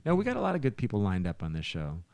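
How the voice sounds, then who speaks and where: A man's voice: fairly low pitch, wildly swooping pitch, racing, vocal fry, a little mellow, no room sound. A man who hosts a podcast, talking casually and at length in an anecdotal way, often restarting his phrases. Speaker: podcast host and musician.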